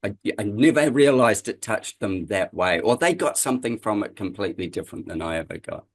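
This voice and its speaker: A man's voice: fairly low pitch, expressive, fairly quick. A man hosting an interview podcast, speaking in a conversational, reflective way. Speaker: podcast host.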